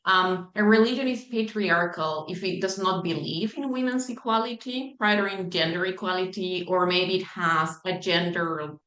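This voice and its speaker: A woman's voice: on the low side, normal speed, few pauses, a bit bright, a little hiss or hum. Woman interviewer in an echoey room. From Chile. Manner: thoughtful, conversational, asks with "right?" tags. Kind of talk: academic interview questions.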